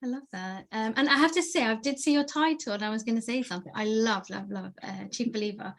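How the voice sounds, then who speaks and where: A woman's voice: a little high, fast talker. Upbeat woman moderating a panel. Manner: upbeat, enthusiastic. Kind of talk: online panel discussion. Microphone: video call.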